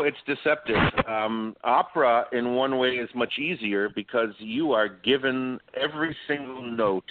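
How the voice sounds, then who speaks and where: A man's voice: mid-range voice, no echo. A man who sings opera, a guest in a remote interview, explaining at length with frequent ums. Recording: dry tinny call line.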